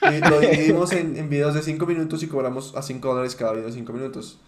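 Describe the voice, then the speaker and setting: A man's voice: fairly high pitch, steady tone, fast. A man hosting a casual podcast chat in who leads the talk and laughs often. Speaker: podcast host. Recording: echoey room.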